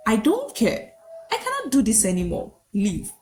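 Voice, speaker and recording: A woman's voice: a little high, huge pitch swings, moderate pace, darkish tone, a little hiss or hum. A woman voicing a cold, heartless husband in a folktale, curt and commanding as he speaks to his wife. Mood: cold, dismissive.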